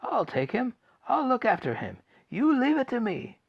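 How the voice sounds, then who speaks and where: A bony, ninety-six-year-old grandpa in a storybook reading, high and shouting with drawn-out whoops of delight, as a man puts him on. His pitch leaps and swoops wildly. The recording sounds dull and lo-fi. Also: huge pitch swings, vocal fry, breathy edge, dry recording, heavily acted. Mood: eager. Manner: loud, drawn-out exclamations. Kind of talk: audiobook character voice.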